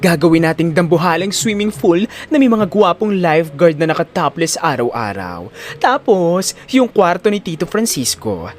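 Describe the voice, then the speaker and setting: A man's voice: a little high, very animated, normal speed, soft background music. A man voicing a greedy, flamboyant young daughter in an audio drama, with sassy slang and theatrical exclamations. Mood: gleeful scheming. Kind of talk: audio drama character acting.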